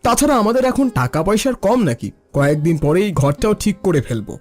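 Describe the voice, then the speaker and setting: Man voicing a high-pitched boastful city-returned son. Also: very animated, brisk. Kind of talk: Bengali cartoon voice acting. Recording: music bed behind the voice.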